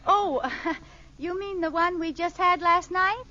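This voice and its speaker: A woman's voice: very high voice, fairly even intonation, slow. An actress playing a wisecracking, easily exasperated, scatterbrained housewife in a 1940s radio comedy, sarcastic in her timing. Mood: flippant. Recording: noisy, muffled old radio recording.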